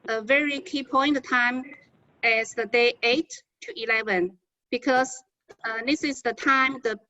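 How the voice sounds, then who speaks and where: A woman physician from China with a Chinese accent, speaking halting non-native English with repeated words as she presents to colleagues on a medical conference call. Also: on the high side, sharp tone, dry recording. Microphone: conference call connection. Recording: dull, band-limited conference call audio.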